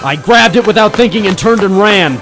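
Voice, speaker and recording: A man's voice: high voice, brisk, over loud music. A man playing a brave, wry ex-Marine private eye, narrating dramatically in 1940s radio detective style. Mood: urgent, tense. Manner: dramatic first-person storytelling.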